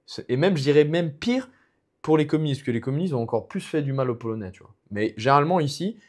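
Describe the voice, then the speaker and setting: A man's voice: fairly high pitch, lively intonation, quick pace, a little mellow. Young French guy, fighter guest on a chat podcast, rambling and filler-heavy. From France. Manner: rambling, many restarts and fillers. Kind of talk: casual podcast conversation.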